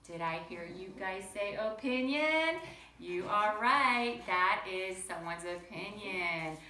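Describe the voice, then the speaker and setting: A woman's voice: mid-range voice, expressive, slow, sharp tone. A woman teacher reading to children and explaining simply, chatty and full of questions for her young listeners. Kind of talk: picture-book read-aloud and simple lesson for children. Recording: roomy echo with faint background noise.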